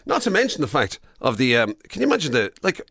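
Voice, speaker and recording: A man's voice: mid-range voice, huge pitch swings, fast, gravelly, a bit bright, no room sound, studio quality. An Irish man co-hosting a radio show in a casual, comic back-and-forth.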